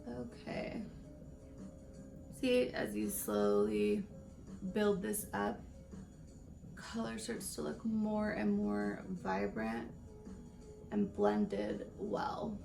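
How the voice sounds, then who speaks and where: Raspy late-20s woman doing a makeup tutorial, gravelly voice, rambly and laughing, soft music behind. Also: mid-range voice, sing-song, extremely slow, long pauses, poor audio. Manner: rambling, laughs between stories. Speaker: beauty vlogger. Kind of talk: casual chatty makeup tutorial.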